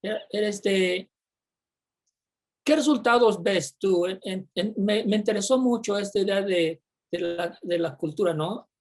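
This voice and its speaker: A panel moderator poses long, winding questions to the speakers. The speech hesitates often, with fillers and repeated words, and sits at a middle pitch. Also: a little slow.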